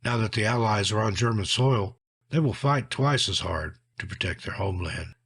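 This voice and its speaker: Husky low-voiced man narrating horror tales. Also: moderate pace, a bit bright. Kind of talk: scripted narration of scary tales.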